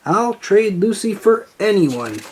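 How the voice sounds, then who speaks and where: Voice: mid-range voice, sing-song, slow, a little hiss or hum, pitched above the performer's own voice. A narrator voicing an earnest, anxious boy who manages a baseball team in a children's storybook. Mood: pleased.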